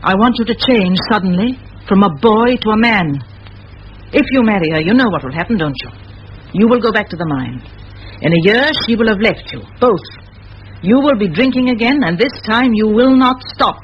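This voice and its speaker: An actress playing a determined middle-aged schoolmistress in a vintage radio play, speaking in firm, measured, eloquent phrases. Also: female voice, mid-range voice, animated, lightly acted. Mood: stern, urgent. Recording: muffled old radio recording, faint hiss.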